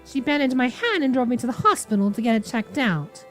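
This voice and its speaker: A friendly, naive young man in a visual novel, acted out by a woman. He sounds eager and earnest, rambling in a high voice that swings widely up and down. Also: sing-song, a little fast, a bit bright, faint music behind. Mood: earnest, flustered. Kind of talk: visual novel character reading.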